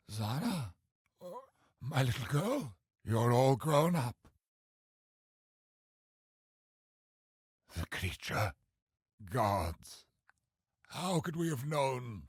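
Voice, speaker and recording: An actor playing an ancient, imperious male cosmic villain in a video game, older and husky, with portentous, theatrical delivery. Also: medium pitch, fairly bright tone, no echo, studio quality, heavily acted. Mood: frail, bewildered. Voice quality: gravelly, husky. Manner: slow, portentous, theatrical pronouncements.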